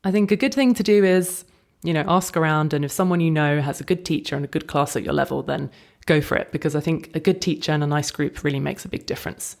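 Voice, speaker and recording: A woman co-hosting a casual podcast, speaking clearly and explaining practical details for newcomers. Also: deep voice, fast talker, darkish tone. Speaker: podcast co-host.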